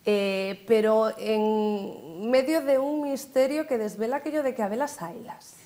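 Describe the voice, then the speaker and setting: A woman hosting an interview in a TV studio, leading with lively, chatty questions. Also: a little high, measured pace. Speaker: TV host.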